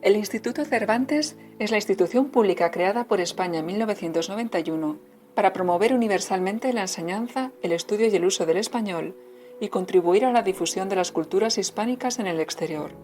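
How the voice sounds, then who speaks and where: A woman narrator delivers scripted information. Her delivery is formal and measured, at a medium pitch. Soft music plays faintly behind her.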